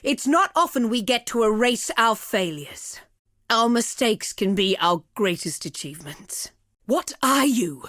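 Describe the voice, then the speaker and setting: An actress playing a cruel, seductive sorceress villain in a video game, speaking in a haughty, theatrical, deliberate and taunting way. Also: female voice, fairly high pitch, sing-song, fairly bright tone, no echo, clean studio sound. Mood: scornful, taunting. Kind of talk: dramatic video game character acting.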